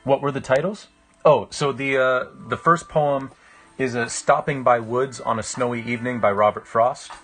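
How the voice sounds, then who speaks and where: A man, chatting casually with livestream viewers in a reflective, halting way as he thinks aloud. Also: mid-range voice, a bit bright. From Canada. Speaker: live-streaming painter. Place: small indoor room with a painting on the wall. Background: music.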